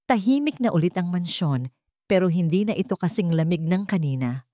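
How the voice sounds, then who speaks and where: Female narrator for romantic audio fiction, lowish register, very animated delivery. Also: fairly quick, dry recording, studio quality. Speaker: story narrator. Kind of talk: dramatic scripted narration of a romance story.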